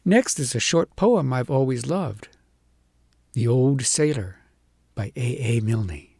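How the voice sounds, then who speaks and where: Husky, animated man reciting humorous verse. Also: mid-range voice, no room sound, clean studio sound. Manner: theatrical, animated delivery with dramatic pauses. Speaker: storyteller reading poems aloud. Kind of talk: expressive comic poetry recitation.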